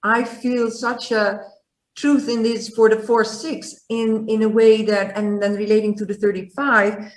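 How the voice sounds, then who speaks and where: A woman hosting an interview, reflecting slowly and haltingly as she thinks aloud. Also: on the high side, flat delivery. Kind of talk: reflective conversation. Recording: echoey room.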